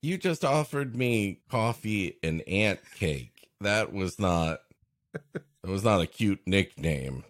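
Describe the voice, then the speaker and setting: Male comedy co-host, wry ad-libs, leisurely pace, expressive pitch, mock-serious delivery. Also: slow pace, dry recording, clean studio sound. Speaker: podcast co-host. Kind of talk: improvised comic commentary on a story.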